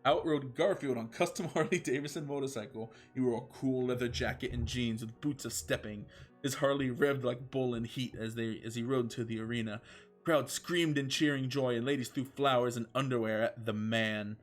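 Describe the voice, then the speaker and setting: A man's voice: mid-range voice, moderate pace. A man reading fan fiction aloud as a comedic, mock-epic narration, sounding amused. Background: music.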